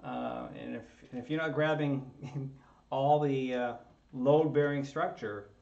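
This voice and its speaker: A man's voice: mid-range voice, steady tone, unhurried, creaky, darkish tone. A man hosting his maker channel from a home basement workshop, chatting casually and joking at his own expense as he assembles a kit. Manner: chatty and self-joking, chuckles often. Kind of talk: casual talk while assembling a 3D printer kit. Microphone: camera on a tripod across the table. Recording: roomy, distant and slightly dull.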